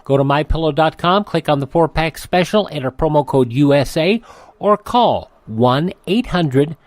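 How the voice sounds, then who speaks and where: A man's voice: fairly high pitch, animated, normal speed, no room sound. An American man hosting radio, reading promos and introductions in a casual, folksy, conversational style. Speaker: radio host. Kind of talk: casual host-read advertisement and programme introduction.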